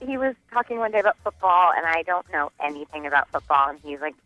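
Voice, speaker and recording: A woman's voice: deep voice, expressive, fast, fairly bright tone, dry recording. A woman calling a radio show by phone, casually telling a personal story in a hesitant way, often saying "like". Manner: hesitant, filler-heavy with frequent "like". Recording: muffled telephone line on radio.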